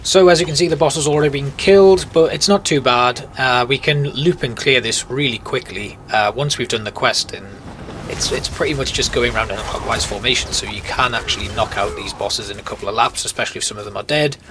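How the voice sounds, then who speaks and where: A man's voice: mid-range voice, fairly quick, a bit bright, slight background noise. A man giving informal, explanatory commentary as the host of a video game walkthrough. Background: music.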